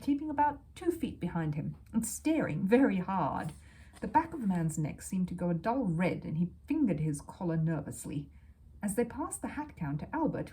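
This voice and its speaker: A woman reading a children's story aloud as an expressive narrator. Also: lowish voice, rough recording.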